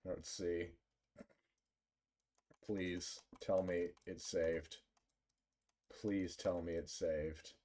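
A man's voice: deep voice, steady tone, very slow, pauses often, dark tone. A man live streaming a game, chatting casually in a rambling, jokingly dramatic way. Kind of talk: casual gaming livestream chat.

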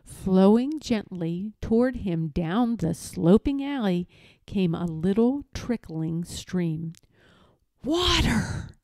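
A woman reading a children's story aloud in a deliberate, expressive, dramatic style. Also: medium pitch, no room sound. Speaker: storybook reader.

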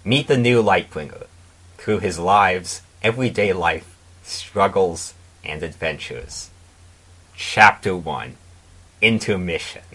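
A man presenting and reading fan fiction aloud in a deliberate, mock-dramatic way. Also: low-pitched, animated, fairly bright tone, slightly rough, low-quality recording. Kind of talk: casual intro and dramatic reading.

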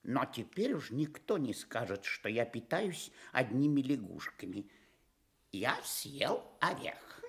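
An actress playing a naive, self-satisfied stork in a children's radio story, theatrical and musing. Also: female voice, rumbling low, big pitch swings, slow pace, husky, heavily acted.

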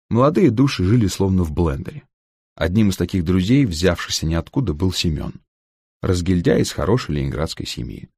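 A man reading a humorous literary story as an audiobook narrator, with a gravelly voice and wry, dry irony. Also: low-pitched, darkish tone, no echo.

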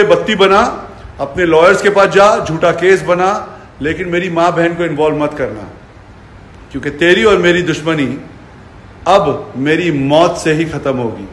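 A man with a fairly high voice delivers an angry social media rant. He is confrontational and taunting, and swears freely. The phone held at arm's length picks up the echo of the room around him. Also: slight background noise. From India. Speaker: fitness personality. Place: furnished living room indoors. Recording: echoey indoor room.

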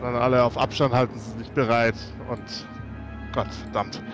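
A man's voice: medium pitch, flat delivery, normal speed. A man playing a tabletop roleplay game on a video call, talking casually and hesitantly, often repeating words and muttering oaths. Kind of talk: casual in-character roleplay chat. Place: home room on video call. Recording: music under the voice.

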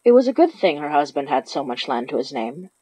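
A woman's voice: low-pitched, very animated, a little fast, mellow, breathy edge, poor audio. A woman reading scripted narration for a horror story. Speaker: narrator of a horror fiction podcast.

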